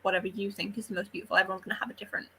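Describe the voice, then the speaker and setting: A woman's voice: medium pitch, flat delivery, racing, sharp tone, slightly rough, poor audio. A woman chatting casually and animatedly about books as a panellist, opinionated and informal. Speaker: book club readalong panellist. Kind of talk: casual book discussion chat.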